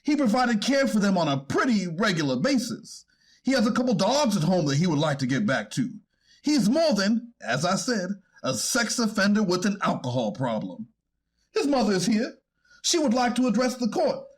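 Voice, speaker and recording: Defense attorney played by a man, high-pitched and very animated, earnest, halting, deferential courtroom pleading. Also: slightly rough, heavily acted. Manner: deferential, formal, halting argument. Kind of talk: readers theater courtroom transcript reading.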